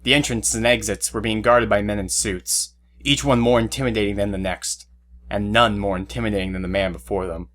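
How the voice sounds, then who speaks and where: Low-voiced man narrating an audio drama. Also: fairly bright tone, a little hiss or hum.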